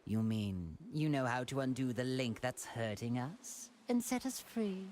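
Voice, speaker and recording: A man's voice: medium pitch, very animated, a little slow, a little mellow, a little hiss or hum. A man playing a haughty, cruel alien tyrant in an anime fighting game, with pompous, refined and disdainful speech. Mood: smug, scornful. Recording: music behind the voice.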